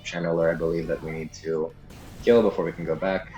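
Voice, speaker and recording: A man with a low voice talks through a video game walkthrough in a casual, explanatory way. He hedges on details as he goes. The room sounds slightly echoey, with soft music underneath. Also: little pitch movement, brisk. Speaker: guide narrator.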